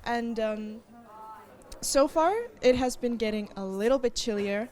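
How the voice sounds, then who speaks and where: A young woman student answering interview questions, thoughtful and measured, pausing often. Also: fairly high pitch, a little mellow. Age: young adult. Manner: thoughtful, with frequent pauses and fillers.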